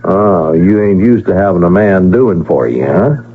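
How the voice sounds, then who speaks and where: An actor playing a cheeky traveling peddler in a radio western, with a folksy, teasing American drawl. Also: male voice, sits low, expressive, measured pace, breathy edge, exaggerated voice. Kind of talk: 1970s radio western acting. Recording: noisy, tinny old radio broadcast.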